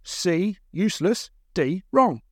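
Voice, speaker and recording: A man's voice: sits high, big pitch swings, takes it slowly, dry recording, studio quality, voice pushed up, full character voice. A man voicing a big, taunting male captor in an audiobook, who mocks and teases like a quizmaster. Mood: mocking, gleeful. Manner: mocking, teasing interrogator. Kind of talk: dramatised audiobook dialogue.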